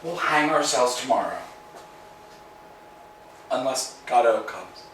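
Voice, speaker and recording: A man's voice: mid-range voice, lively intonation, slow, a bit bright, a little hiss or hum. A man reading the part of a restless, fretful middle-aged tramp in a staged play reading, with a dry, wry delivery. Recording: roomy room, mic far off.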